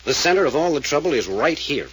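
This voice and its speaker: Blunt, animated radio detective. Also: male voice, a little high, fast talker. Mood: matter-of-fact. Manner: firm, probing, matter-of-fact. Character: blunt, insistent male private investigator. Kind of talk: 1940s radio drama acting. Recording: noisy vintage radio recording.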